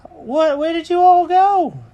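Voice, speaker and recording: A man's voice: very high-pitched, a little slow, mellow, highs cut off, pitched above the performer's own voice. A man voicing a chatty, vain male cat in a children's story, full of dramatic questions and exclamations. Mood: surprised.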